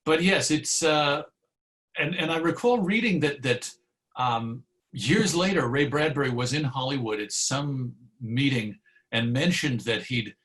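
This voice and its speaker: A man's voice: medium pitch, unhurried, a bit bright. A man speaking as an interview guest, explaining history in a reflective, discursive and conversational way. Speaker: guest, old-time radio host and historian.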